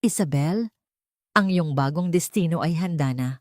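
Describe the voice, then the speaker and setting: Woman reading as proud, low-voiced general father. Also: moderate pace, darkish tone, no room sound, studio quality, natural voice. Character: proud, authoritative military general, an older father.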